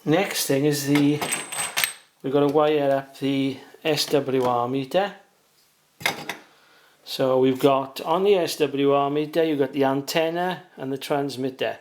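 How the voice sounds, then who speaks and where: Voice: medium pitch, fairly even intonation, measured pace, background noise. A hobbyist presenter giving a casual beginner's how-to, talking plainly and without hurry through each step while working.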